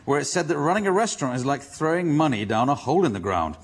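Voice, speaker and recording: A man's voice: on the high side, big pitch swings, quick pace. A man hosting a panel show, joking and teasing his guests. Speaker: host.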